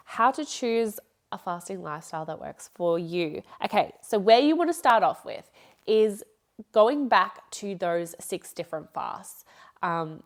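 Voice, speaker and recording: A young woman talks casually through her solo podcast, her voice nasal from a blocked nose. She rambles and corrects herself often and laughs between thoughts. Her pitch sits in a middle register. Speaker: podcast host.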